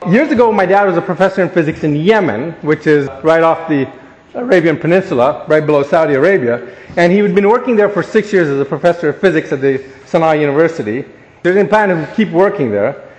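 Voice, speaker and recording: A man's voice: a little high, expressive, fast, darkish tone, muffled recording. A young man giving a humorous, self-deprecating speech to an audience, telling stories about where he grew up. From born in Ghana, grew up in Africa, Asia and the Caribbean. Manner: self-deprecating, joking, storytelling. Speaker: guest speaker. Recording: echoey hall.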